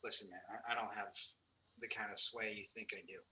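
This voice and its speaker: A man's voice: on the low side, flat delivery, a little fast, pushed and bright, low-quality recording. A man playing a smug, self-pitying Hollywood actor in a comedy table read. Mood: defensive.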